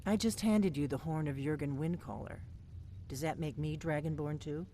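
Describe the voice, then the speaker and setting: A woman's voice: low-pitched, moderate pace, warm tone, a little hiss or hum, natural voice. An actress voicing a guarded, driven veteran female agent in a video game, speaking tersely and with command. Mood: defensive, insistent. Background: game music.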